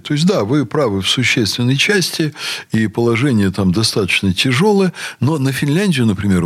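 An older man speaking as a university rector and guest in a studio discussion, measured, with pitch that rises animatedly. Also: a bit bright, slightly rough.

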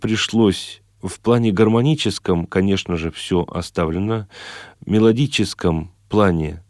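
Male singer as interviewee: deep, soft-edged voice, dry sound, reflective and measured delivery. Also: dry recording. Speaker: interview guest, chamber singer.

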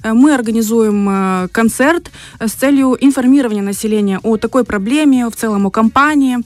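A woman speaking as an interview guest on the radio, explaining things in a measured way. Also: a little high, a little mellow, no echo. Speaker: guest, representative of a women's aid organisation. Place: radio studio.

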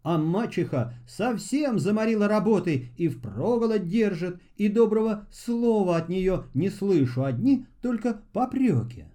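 A man's voice: high-pitched, expressive, unhurried, hardly any pauses, dark tone. A man playing a good-hearted, downtrodden teenage peasant boy in a fairy tale, with an earnest, polite manner. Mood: sorrowful. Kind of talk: storybook fairy-tale voice acting.

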